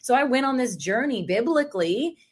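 A woman's voice: fairly high pitch, lively intonation, moderate pace, husky. A woman hosting a conversational interview podcast, responding in an affirming and reflective way. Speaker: podcast host.

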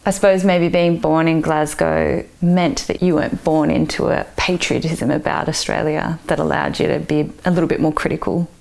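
Soft-spoken female interviewer, lowish voice. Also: fairly even intonation, normal speed, gravelly, few pauses.

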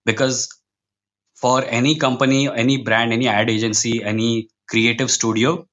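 An Indian-accented man talks casually as a podcast guest. His manner is wry and opinionated, wandering into tangents and then making his points with emphasis. His voice sits at an ordinary male pitch. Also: level tone, normal speed, fairly bright tone, lo-fi sound. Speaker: podcast guest, comic book writer.

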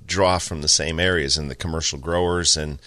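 A man's voice: rumbling low, steady tone, bright, forceful tone, no room sound, clean studio sound. A man hosting a garden talk show, joking and teasing his co-host in casual chat about his nursery. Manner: joking, teasing, quick asides.

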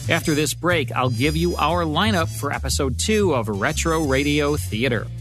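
A man's voice: fairly high pitch, normal speed, fairly bright tone. A man hosting a podcast, reading a scripted show outro. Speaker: podcast host. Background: music.

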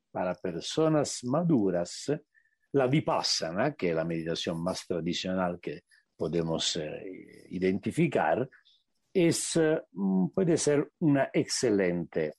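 A man with an Italian accent, a native Italian speaker, giving a radio interview as a meditation teacher and often pausing to search for the right word. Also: mid-range voice, sing-song, a little mellow, no echo, clean studio sound. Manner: searching for words, slowing to stress points. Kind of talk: reflective interview answers.

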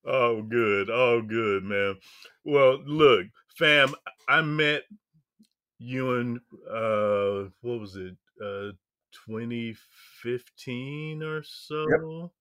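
A man's voice: medium pitch, very slow, a bit bright, smooth, no echo. A man hosting a podcast interview in a casual, slangy way, greeting listeners as family and the guest as brother. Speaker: podcast host.

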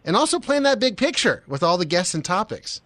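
A man's voice: high voice, very animated, brisk, fairly bright tone, slight background noise. A man hosting a casual radio interview in a chatty, conversational way, speaking close to a studio microphone.

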